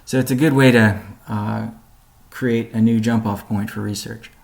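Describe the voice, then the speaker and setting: A man gives a company update in the style of a business conference call. His voice is lowish with a strong gravelly fry. He explains in a measured way, pausing deliberately, and his pitch stays fairly level. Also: steady tone, a little mellow. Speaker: company spokesman presenting an update.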